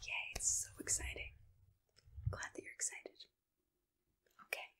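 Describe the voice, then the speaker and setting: A woman softly whispering in a gentle, reassuring, patient way, asking questions as a matchmaker in an ASMR roleplay, close to the microphone. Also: measured pace. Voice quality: soft breathy whisper. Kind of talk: ASMR personal-attention roleplay, unscripted interview questions.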